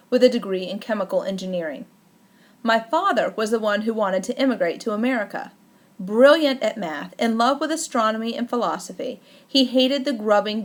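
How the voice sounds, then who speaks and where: A woman reading a first-person memoir aloud as an audiobook, in a measured, deliberate way with careful emphasis. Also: medium pitch. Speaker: audiobook narrator.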